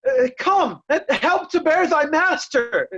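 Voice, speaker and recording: Woman as a loyal attendant, slow, dull sound. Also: high voice, big pitch swings, a bit bright, heavily acted. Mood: concerned, soothing. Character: loyal, pitying nobleman Kent, gentle attendant. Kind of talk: remote reader's theatre Shakespeare.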